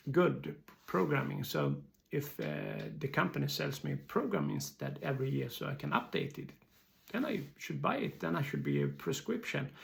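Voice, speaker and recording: A man with a Swedish accent giving an informal explanatory business talk in halting, hesitant non-native English phrasing. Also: a little high, creaky, fairly bright tone, a little hiss or hum. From Sweden.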